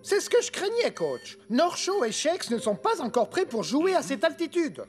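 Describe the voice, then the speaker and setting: A high, extremely lively cartoon assistant. She reports briskly and earnestly, with clear music underneath. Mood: concerned, efficient. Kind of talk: French-dubbed children's cartoon acting.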